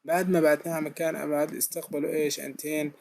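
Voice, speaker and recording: A man's voice: on the high side, flat delivery, rapid-fire, gravelly, a little mellow. A man giving a recorded technical lesson, explaining step by step and answering his own questions with frequent hesitations. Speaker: lecturer.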